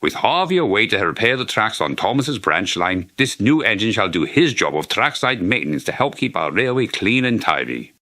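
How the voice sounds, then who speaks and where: A stern but fair cartoon railway controller with formal authority, acted by a man. The voice is forceful and expressive. It is closely and dryly recorded. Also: fairly low pitch, moderate pace, hardly any pauses, no room sound. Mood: businesslike. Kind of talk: animated character dub.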